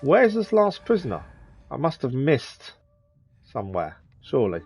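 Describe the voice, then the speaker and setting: Streamer in his 30s, mid-pitched, chatty, mutters at enemies while playing. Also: wildly swooping pitch, normal speed, a little mellow, slightly rough, faint music behind. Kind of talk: live gameplay commentary.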